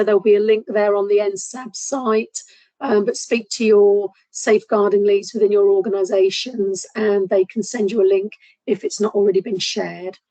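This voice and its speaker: A hoarse woman from a safeguarding board making an announcement in an online meeting, speaking hesitantly with frequent ums. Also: medium pitch, a little mellow, no echo, poor audio.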